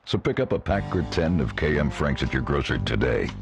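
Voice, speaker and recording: A man's voice: rumbling low, big pitch swings, brisk, over music. A man reading a humorous radio ad in a dry, tongue-in-cheek way. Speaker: commercial voice-over.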